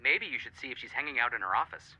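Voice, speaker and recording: An actor playing an earnest, excitable male detective friend with a punning streak in a video game, dramatic, heard through a phone filter. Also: medium pitch, fast talker, rough recording. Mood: serious.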